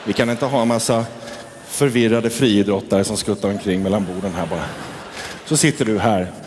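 A man playing a fussy, uptight event host in a comedy sketch who orders guests around and bursts into shouting. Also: on the low side, close to an everyday voice. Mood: prim. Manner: prim and bossy, bursting into shouting. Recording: echoey hall with crowd and noise.

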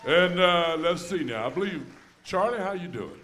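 A sixty-year-old man bandleading on a nightclub stage, calling people up in loose, unhurried stage banter into a stand mic. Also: high voice, lively intonation, loud music behind the voice, a loud crowd. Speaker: singer and bandleader. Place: nightclub stage, live band. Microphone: vocal mic on a stand. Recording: live club sound, loud band.

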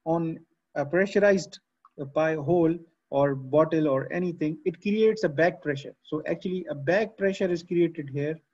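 A man in his thirties teaches engineering over a video call. His voice sits fairly high and carries a husky, breathy edge. He explains patiently, repeats key terms and keeps saying okay. The sound is dull and lo-fi, as from a small computer mic. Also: a little mellow. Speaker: engineering lecturer. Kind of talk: online lecture.

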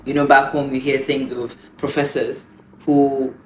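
A woman in her thirties from Uganda, a pastor giving lively, emphatic advice on a couch, repeating phrases for stress. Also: rumbling low, unhurried, slight background noise. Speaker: pastor and guest. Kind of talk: casual advice talk. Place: living room, on a couch. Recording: roomy echo, faint music.

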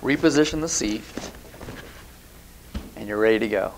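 A man in his thirties giving calm step-by-step product instructions on a lapel mic, recorded in a bare indoor room. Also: fairly low pitch, normal speed, noisy background. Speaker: product demonstrator. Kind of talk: scripted instructional voice-over.

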